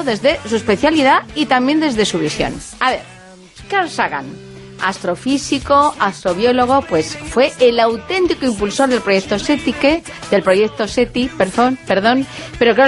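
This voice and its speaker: A woman's voice: a little high, expressive, moderate pace, soft background music. A woman with a Spanish accent, co-hosting a radio show in a chatty, hedging way. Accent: Spanish (Spain). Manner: chatty, hedging, self-correcting. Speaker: radio co-host. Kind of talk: radio chat.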